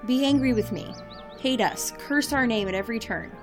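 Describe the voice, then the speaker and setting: An actress plays a wry, quirky woman who leads a team of agents. Her voice is fairly high and commanding, in audio drama style over clear music. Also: normal speed, close to an everyday voice. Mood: earnest.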